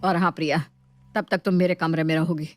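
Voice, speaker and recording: A woman's voice: deep voice, fairly even intonation, brisk, no echo, soft background music, voice pushed down, close to an everyday voice. Woman playing middle-aged mother-in-law, protective, scolding, exasperated, story character, dry close sound. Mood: angry scolding. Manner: scolding, complaining.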